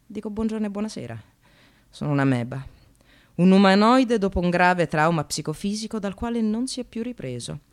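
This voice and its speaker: Actress as bitterly ironic precarious woman, expressive swings. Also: mid-range voice, close to an everyday voice. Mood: exasperated, bitter. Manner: sardonic, emphatic, confessional. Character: precarious receptionist, disillusioned separated mother, bitterly ironic. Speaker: actress narrating a monologue. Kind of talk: theatrical radio drama monologue.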